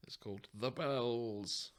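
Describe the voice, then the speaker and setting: A man's voice: medium pitch, slow pace. A man co-hosting a podcast, chatting casually with friends in a teasing, jokey way. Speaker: podcast co-host. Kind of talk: casual chat among friends.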